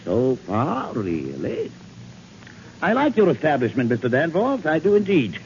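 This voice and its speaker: An actor playing a pompous, genteel, gouty older villain with florid theatrical phrasing in a 1940s radio drama. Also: male voice, a little high, huge pitch swings, unhurried, darkish tone, heavily acted. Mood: imperious, smug. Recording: muffled, hissy 1940s radio recording.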